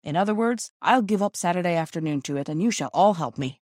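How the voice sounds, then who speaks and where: A woman's voice: lowish voice, expressive, quick pace, a little mellow, dry recording, studio quality. Woman playing a hearty, jovial father. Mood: cheerful. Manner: hearty, wry. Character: jovial middle-class father, hearty and encouraging.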